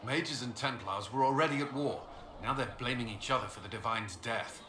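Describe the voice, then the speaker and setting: A man's voice: medium pitch, moderate pace, lightly acted. Man acting a stern army commander guy in a fantasy game, mid voice, curt and dry, noisy background. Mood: exasperated. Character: firm, exasperated male military commander, ex-Templar. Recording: roomy echo, clear background noise.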